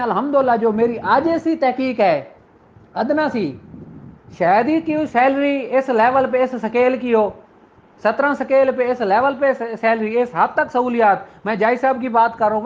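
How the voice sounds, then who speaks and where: A man giving a slow, deliberate classroom law lecture, addressing his exam students in a fatherly way. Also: extremely high pitch. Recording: muffled lo-fi recording, faint noise.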